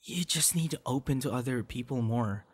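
A young man playing a playful, affectionate boyfriend in an ASMR audio roleplay, with a teasing, encouraging, slightly halting delivery. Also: mid-range voice, breathy edge, close to an everyday voice. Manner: teasing, encouraging, halting with soft laughs and gasps. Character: playful, affectionate young male voice actor on a date.

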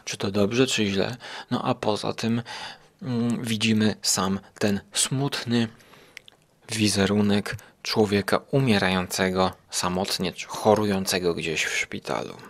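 Slow, gravelly male podcast reviewer. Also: fairly low pitch, fairly even intonation. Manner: halting, rephrasing as he goes. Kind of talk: informal spoken review.

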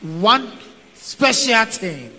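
A man interpreting a sermon line by line in a steady, declarative way. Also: high voice, takes it slowly. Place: large church hall, indoors. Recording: long hall reverb.

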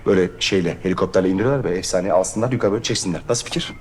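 An actor playing a sarcastic young police commissioner in a TV drama, teasing and deadpan. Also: male voice, deep voice, racing, close to an everyday voice. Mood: dry. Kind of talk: TV series acting. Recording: music score under dialogue.

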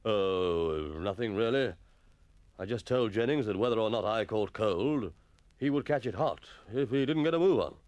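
A man's voice: medium pitch, lively intonation, moderate pace, darkish tone, close to an everyday voice. A man voicing a grumpy, blustering middle-aged schoolmaster with a stern, spluttering manner in a story reading. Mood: uneasy.

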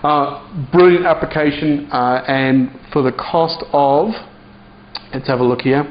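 A man's voice: on the high side, steady tone, measured pace. A man presenting a casual, unscripted product demonstration as an app reviewer. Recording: dull lo-fi sound, clear background noise.

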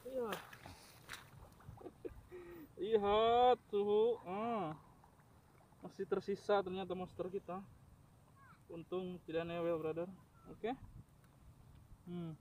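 Excitable high-voiced man outdoors. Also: slow, long pauses, highs cut off. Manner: excited, joking, addresses viewers. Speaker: eel fishing vlogger. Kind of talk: casual outdoor fishing commentary. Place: outdoors in grassy wetland by a ditch. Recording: outdoor field recording with loud ambient noise.

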